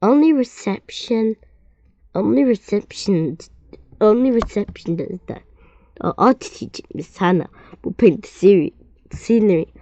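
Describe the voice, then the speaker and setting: A woman reading a children's story aloud, expressive and often stumbling and repeating words. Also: mid-range voice, unhurried, muffled recording, a little hiss or hum.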